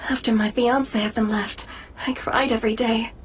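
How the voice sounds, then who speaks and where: A fervent female believer played by a woman gushes with hope in a high voice. It is game dialogue, with faint noise behind it. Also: fairly even intonation, normal speed, lightly acted. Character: fervent female believer, hopeful jilted bride-to-be.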